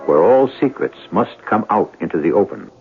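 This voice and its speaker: A man hosting a radio mystery show, narrating in an ominous, theatrical and deliberate style with suspense. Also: deep voice, big pitch swings, vocal fry. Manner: deliberate, suspenseful, rhetorical questions. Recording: muffled old radio broadcast, faint music. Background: faint music.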